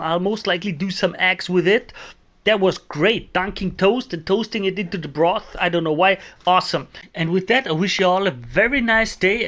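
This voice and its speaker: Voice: mid-range voice, fairly bright tone. A streamer from Austria, chatting casually with live viewers while cooking and answering them between remarks on the pot.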